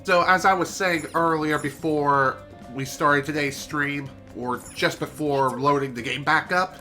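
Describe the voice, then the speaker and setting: A man's voice: a little high, fairly even intonation, measured pace, pushed and bright. A man chatting through a game stream in a casual let's-play style, his voice nasal and congested, with chatty self-deprecating asides. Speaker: streamer playing a video game. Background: game music.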